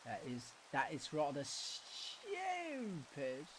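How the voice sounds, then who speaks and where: A man's voice: on the high side, huge pitch swings, extremely slow, a bit bright, slight background noise. Sniffly male streamer muttering to himself. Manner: mutters to himself while setting up, casual slang. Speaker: livestreamer. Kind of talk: casual live-stream chatter. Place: home room.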